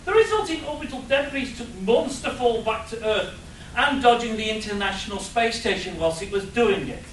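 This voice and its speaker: A man's voice: sits high, lively intonation, normal speed, a bit bright, slight background noise. Man playing an explaining professor, very echoey. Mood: lecturing. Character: learned scientist professor, matter-of-fact, slightly wistful. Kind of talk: comic radio play performed live. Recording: very echoey room, distant mic.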